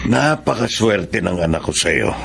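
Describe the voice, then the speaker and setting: A man playing a kindly, sympathetic middle-aged father with a gravelly voice in a Filipino radio drama. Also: low-pitched, lively intonation, a little slow, a bit bright, slight background noise, heavily acted.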